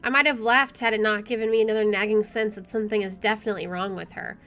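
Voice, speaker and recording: A woman's voice: medium pitch, steady tone, quick pace, a bit bright. A woman let's player reading a visual novel aloud expressively with chatty asides, game music playing behind her.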